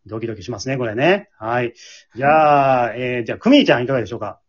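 A man's voice: mid-range voice, lively intonation, rapid-fire, dull audio. A man with a Kansai Japanese accent hosting a casual radio show in a polite, playful way. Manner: polite, playful, often laughing. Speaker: host and amateur drama troupe leader.

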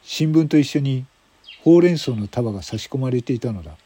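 男性がラジオドラマの台本を、間を取りながら語るナレーション。. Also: male voice, mid-range voice, lively intonation, fairly quick, mellow, slightly rough, no room sound. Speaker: narrator. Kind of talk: scripted radio drama narration.

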